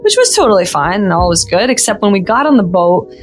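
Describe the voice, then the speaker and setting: A woman from Lebanon speaks as a podcast guest in a studio, telling her story in a casual, lively way. Her pitch moves around a lot, and she says "like" often. Faint music plays underneath. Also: fast.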